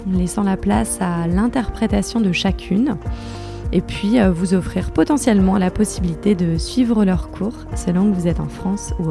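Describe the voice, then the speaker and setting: A woman hosting a yoga podcast, introducing the episode and asking gentle, slightly hesitant questions. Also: mid-range voice, creaky. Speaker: podcast host, interviewer.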